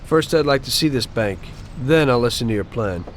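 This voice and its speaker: An actor playing a cautious young mob driver who speaks in a wary, firm, down-to-earth way. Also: male voice, mid-range voice, animated, normal speed, lightly acted. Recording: game audio with street noise.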